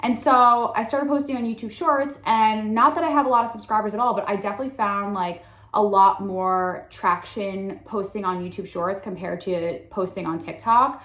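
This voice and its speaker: A woman's voice: medium pitch, fairly even intonation, normal speed, few pauses, a bit bright, echoey room. A woman, talking candidly to camera in a casual introduction, filling her speech with "like". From Long Island, New York. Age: 20s. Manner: chatty, heavy use of "like", candid. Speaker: content creator. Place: room in her sister's house.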